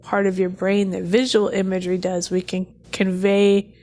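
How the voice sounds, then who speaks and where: A woman's voice: mid-range voice, completely flat delivery, moderate pace, dark tone. A woman co-hosting a casual tech discussion, earnest and emphatic, often repeating herself to make a point. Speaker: podcast co-host.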